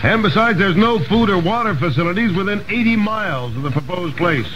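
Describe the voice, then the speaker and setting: A man's voice: sits high, animated, brisk. An American man reading a scripted 1960s radio newscast with wry, punchy announcer delivery. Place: radio studio. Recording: muffled, noisy 1960s AM radio aircheck.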